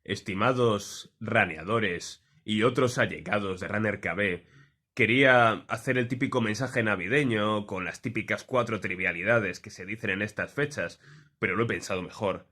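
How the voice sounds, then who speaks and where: Man, bright forceful tone, slightly low pitch, pompous, mock-solemn scripted greeting. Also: moderate pace.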